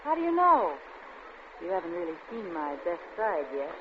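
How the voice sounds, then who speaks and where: A woman's voice: lowish voice, sing-song, moderate pace. An actress playing a scheming, vengeful and seductive woman crook in a 1940s radio suspense drama, narrating her own crime. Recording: dull, noisy old radio recording.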